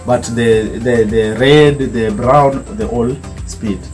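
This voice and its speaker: A man's voice: medium pitch, steady tone, slow pace, darkish tone, faint music behind. A young man with a Kenyan accent giving an informal, explanatory guided tour, repeating phrases as he goes. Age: young adult. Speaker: snake park guide. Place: open-sided snake park shelter with mesh walls.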